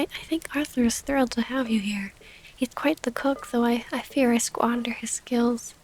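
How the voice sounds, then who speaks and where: A woman playing a gentle, formal vampire noblewoman and wounded lover in an intimate ASMR roleplay, speaking in a soft, breathy half-whisper. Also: fairly high pitch, poor audio. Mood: fond, tentative.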